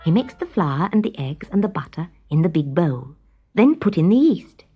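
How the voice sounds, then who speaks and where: A woman reads a children's tale aloud in an expressive storytelling style. Her melody rises and falls widely, and her tone has a soft husky edge. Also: on the low side, normal speed, phone-quality sound, soft background music. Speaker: story reader. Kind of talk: scripted children's story narration.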